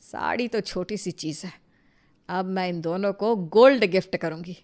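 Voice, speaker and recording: A woman voicing a jealous, scheming older mother-in-law in an animated moral story, scolding and grumbling forcefully. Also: lowish voice, normal speed, close to an everyday voice.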